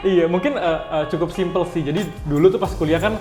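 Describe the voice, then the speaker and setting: A man's voice: high voice, brisk. A man giving casual interview answers, informal and dropping in English words. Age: 20s. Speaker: guest, startup founder. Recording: roomy echo with music behind.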